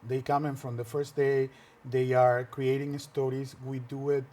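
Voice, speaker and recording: A man whose first language is Spanish, a filmmaker and workshop teacher, answering interview questions earnestly with frequent pauses and restarts in a TV studio. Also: mid-range voice, little pitch movement, darkish tone.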